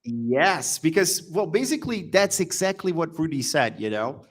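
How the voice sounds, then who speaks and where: A man's voice: fairly high pitch, big pitch swings, normal speed. Brazilian man in casual podcast talk. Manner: agreeable, affirming, guides the talk. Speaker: co-host, professor. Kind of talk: casual educational podcast chat.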